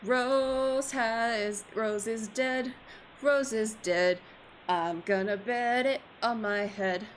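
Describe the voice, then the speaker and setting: A woman's voice: on the high side, steady tone, drawn-out words, slight background noise. A woman streamer reading a visual novel aloud, expressively and theatrically, with joking asides. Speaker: streamer, virtual YouTuber.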